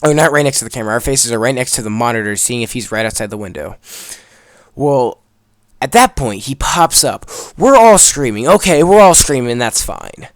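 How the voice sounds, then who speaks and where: A man's voice: mid-range voice, expressive, moderate pace, no room sound, clean studio sound. A young man telling a story in a casual, rambling, emphatic way, swearing freely as he goes. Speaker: video creator telling a story over gameplay.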